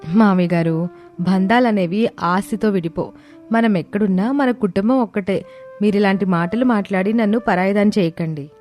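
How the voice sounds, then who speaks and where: A woman voicing a gentle, dutiful young daughter-in-law in a moral story, soothing and respectful. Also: mid-range voice, moderate pace, lightly acted. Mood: earnest. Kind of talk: dramatized moral story dialogue. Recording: music bed under the voice.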